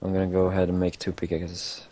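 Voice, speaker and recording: Low-voiced laid-back young gamer. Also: male voice, monotone, quick pace, warm tone. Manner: short, laid-back replies, teasing. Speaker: co-op gaming partner. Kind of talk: casual gameplay chat.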